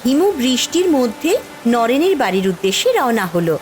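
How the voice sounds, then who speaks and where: A woman narrates a cartoon tale. Her voice is fairly high and swings expressively through the scripted story, over light background music. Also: very animated, brisk.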